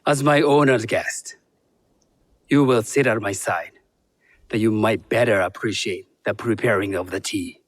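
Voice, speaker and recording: A dignified tea master of feudal Japan, courteous and measured in speech. His voice sits a little low and is recorded dry, as in a game cutscene. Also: dry recording. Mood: formal, welcoming. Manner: formal, gracious, measured. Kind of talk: video game cutscene acting.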